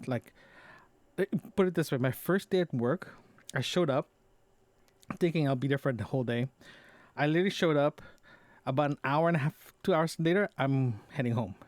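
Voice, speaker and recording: A man's voice: mid-range voice, darkish tone, no room sound. A man recording a casual audio diary, talking in a rambling, conversational way and often correcting himself as he goes. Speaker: podcast host.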